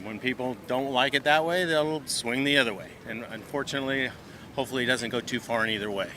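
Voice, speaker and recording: A man of average pitch giving a casual opinion in a street interview. Cars and city noise are clearly audible behind him. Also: quick pace, bright, forceful tone.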